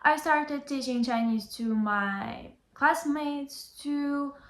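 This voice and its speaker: An earnest young woman talks straight to the camera in a slightly high voice, repeating her key phrases to drive them home. The room around her is roomy and echoey. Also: slow pace, a bit bright. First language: Bulgarian. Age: young adult. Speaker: Chinese tutor. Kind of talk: motivational vlog talk. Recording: roomy echo.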